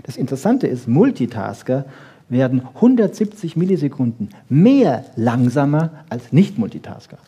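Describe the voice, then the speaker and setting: A man's voice: medium pitch, sing-song, normal speed, warm tone, slightly rough. A man giving a colloquial science lecture, emphatic, with rhetorical questions and frequent little check-ins to his listeners.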